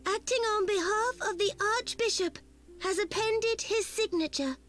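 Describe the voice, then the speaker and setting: A woman's voice: very high voice, measured pace, fairly bright tone, soft background music. An actress playing a small female dignitary in a fantasy video game, speaking formally and ceremoniously. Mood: solemn. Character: small, high-voiced female dignitary making solemn declaration.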